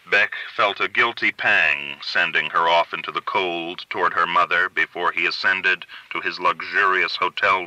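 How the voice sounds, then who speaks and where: A man narrates literary fiction with measured, articulate delivery. His voice sits fairly low yet has a very sharp, bright edge, and the sound is dry and close. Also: no room sound, low-quality recording. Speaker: audiobook narrator.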